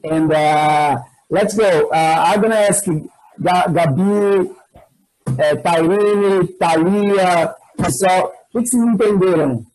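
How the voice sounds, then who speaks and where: Husky high-voiced Brazilian teacher. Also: male voice, slow pace, a little mellow, low-quality recording. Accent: Brazilian Portuguese. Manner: encouraging, switches to prompting students. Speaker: English teacher and host. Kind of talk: hosting an online class. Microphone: video call.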